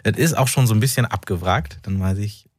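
A man's voice: on the low side, brisk, slightly rough. A man from North Rhine-Westphalia, an author speaking reflectively as a radio interview guest, often searching for words. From North Rhine-Westphalia, Germany. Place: radio studio.

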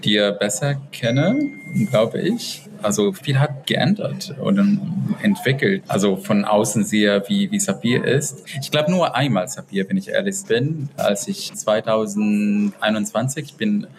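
A man from Israel answering interview questions in halting speech, often searching for words and restarting sentences. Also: on the low side, lively intonation, a little hiss or hum, rough recording. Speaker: dialogue group organizer.

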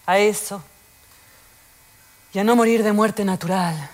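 An actress plays an anxious woman of forty baring herself in a theatre monologue. Her delivery is slow and deliberate, self-deprecating, at an average female pitch. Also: darkish tone, lightly acted. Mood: anxious, confessional.

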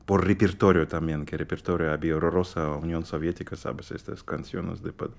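Deep-voiced man. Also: level tone, a little fast, gravelly. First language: Russian. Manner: hesitant, searching for words, many fillers. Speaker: guest pianist. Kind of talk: halting interview answers in a second language.

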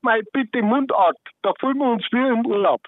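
A man's voice: extremely high pitch, normal speed, dry recording. A man calling a radio show, cheerful and matter-of-fact. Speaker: caller. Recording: tinny phone line.

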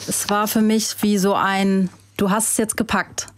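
A woman from Hesse, an author and interview guest, answering in a thoughtful, measured way with fillers and restarts. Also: mid-range voice, little pitch movement, slight background noise. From Hesse, Germany.

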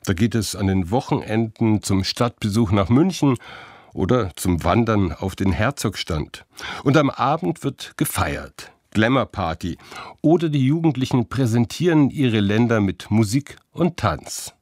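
A man narrating a news report in a measured, even voice-over. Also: on the low side, no echo, studio quality. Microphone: studio voice-over.

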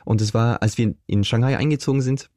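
A man's voice: medium pitch, fairly even intonation, fast talker, dark tone, no echo, clean studio sound. A young man, an interview guest, telling his life story earnestly and reflectively, with frequent fillers and restarts. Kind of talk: casual conversational storytelling.

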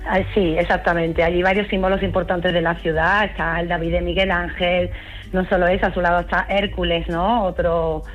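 A woman's voice: on the low side, dull audio. A woman from Huelva with an Andalusian accent, a tour guide answering interview questions in an enthusiastic, explanatory, conversational way. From Huelva, Spain. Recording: music playing behind the voice. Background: music.

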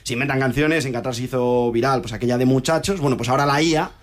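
Man, quick speech, chatty co-host, animated storytelling. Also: mid-range voice. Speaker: radio show co-host. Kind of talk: casual chat.

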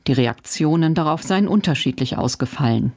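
A woman reads a scripted news report in a measured, even tone. The voice-over is recorded close and dry, with no room sound around it. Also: low-pitched, no echo. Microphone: studio voice-over mic.

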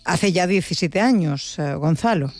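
A woman's voice: fairly low pitch, expressive, measured pace. A woman with a Spain Spanish accent hosting a conversational radio interview.

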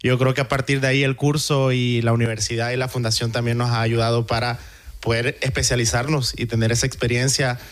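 Salvadoran man, medium-pitched radio guest. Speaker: course student and radio guest. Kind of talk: impromptu spoken reflection. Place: radio studio.

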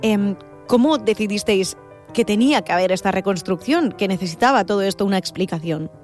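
Woman, Spanish accent, interview host, mid-pitched voice, conversational questioning, music in the background. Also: a little fast, darkish tone. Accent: Spain. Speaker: interviewer, radio or podcast host.

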